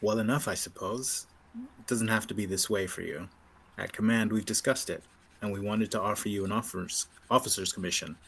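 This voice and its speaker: A man reading a composed, persuasive rebel officer with a husky voice in a sci-fi table read. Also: on the low side, fairly even intonation, fairly quick, a bit bright. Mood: earnest, persuasive.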